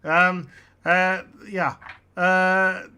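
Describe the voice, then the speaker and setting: A woman with a South African accent hosting a political talk show. Her voice is bright and forceful. She talks in animated bursts and often restarts her sentences. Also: fairly low pitch, extremely slow, smooth. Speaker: host. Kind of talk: casual political chat.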